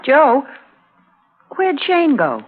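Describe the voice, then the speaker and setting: A frontier wife and mother in a radio western, tender and troubled. Her pitch moves widely and with earnest feeling. The recording is thin and phone-like, from an old broadcast. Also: huge pitch swings, very slow, exaggerated voice. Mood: tender, uneasy. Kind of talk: 1950s radio drama acting.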